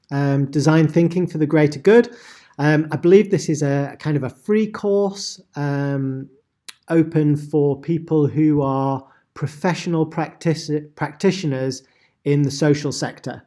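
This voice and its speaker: Higher-voiced man hosting an online seminar. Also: mellow. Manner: rambling, many "um" fillers and restarts. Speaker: seminar host. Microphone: video call.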